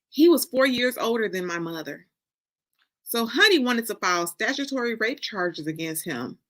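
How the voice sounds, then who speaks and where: Bright-voiced American woman reading dramatically. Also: mid-range voice. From Detroit, United States. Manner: deliberate, expressive, dramatic phrasing with pauses. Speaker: author reading from a novel. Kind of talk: dramatic reading of first-person narrative.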